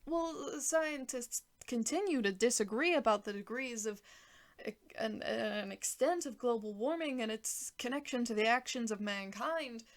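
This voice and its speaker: A woman's voice: fairly high pitch, measured pace, pitched above the performer's own voice. A woman voicing a self-important male government official, defensive and blustering in protest. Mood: flustered, defensive.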